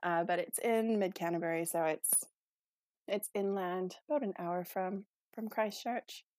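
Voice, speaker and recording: A woman's voice: lowish voice, measured pace, a little mellow, dry recording. A young woman from Manitoba with a Canadian accent, chatting casually as a podcast guest, with frequent ums and light laughs. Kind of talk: casual interview chat.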